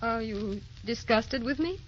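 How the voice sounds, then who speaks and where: An actress playing a gentle, youthful woman with a secret sorrow, tender and wistful, in old-time radio drama style. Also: fairly high pitch, expressive, a little slow, heavy background noise. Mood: quietly confessing. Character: youthful, gentle, secretly sorrowful wife posing as a distant cousin. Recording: dull old radio recording, music behind.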